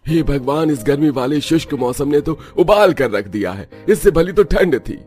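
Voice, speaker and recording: Man acting a poor villager, lively intonation. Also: a little high, moderate pace, soft background music, lightly acted. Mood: despairing, bitter. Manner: complaining, plain village speech. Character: aggrieved, poor male village farmers and labourers.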